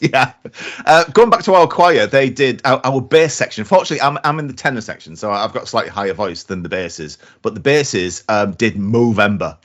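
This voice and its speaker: A man's voice: mid-range voice, animated, brisk, hardly any pauses. A man co-hosting a casual comedy podcast, hesitant, with many stammered restarts and giggles. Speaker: podcast co-host. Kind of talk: casual banter.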